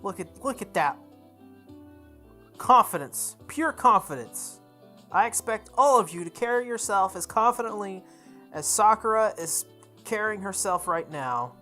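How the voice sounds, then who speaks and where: A woman playing through a visual novel, reading its text aloud with expressive, goofy casual commentary, sometimes correcting her stumbles. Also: mid-range voice, a little slow, faint music behind. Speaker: host of a visual novel playthrough.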